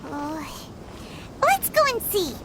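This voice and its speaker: Squeaky childlike fairy companion. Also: female voice, huge pitch swings, slow pace, heavy background noise, heavily acted. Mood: earnest, curious. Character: small childlike fairy companion, perky and outspoken. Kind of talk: video game voice acting.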